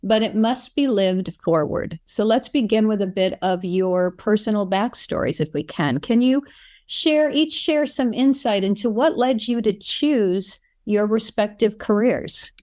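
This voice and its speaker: A woman's voice: medium pitch, animated, unhurried, darkish tone. A woman hosting an interview, welcoming guests and asking questions in a gracious, formal way. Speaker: interview host. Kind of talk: moderating an interview.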